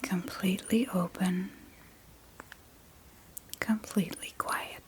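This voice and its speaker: A woman softly guiding a sleep meditation in a breathy ASMR whisper, soothing and gentle, with long pauses between short phrases. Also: medium pitch, fairly even intonation. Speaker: meditation guide.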